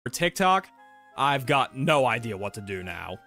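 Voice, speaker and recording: A man ranting sarcastically about his frustrations, his voice hoarse from being sick. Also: mid-range voice, big pitch swings, normal speed, bright, forceful tone, faint music behind. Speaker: content creator. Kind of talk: ranting commentary video.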